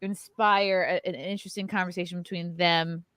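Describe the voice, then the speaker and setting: Bright-voiced woman hosting a chat. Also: lowish voice, level tone, fairly quick, dry recording, studio quality. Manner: chatty, reads out chat comments. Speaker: podcast host. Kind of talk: casual group chat.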